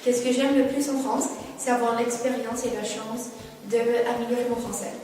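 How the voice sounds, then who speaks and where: A young woman from Quebec, an exchange student, speaking brightly and enthusiastically. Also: on the high side, little pitch movement, normal speed, very noisy. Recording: distant mic, reverberant hall, music.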